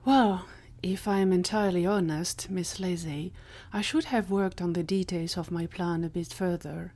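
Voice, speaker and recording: A woman's voice: fairly low pitch, steady tone, normal speed, a little mellow. A woman voicing a tiny male scientist, earnest and easily flustered, with formal, courteous manners, in an audio story. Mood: sheepish.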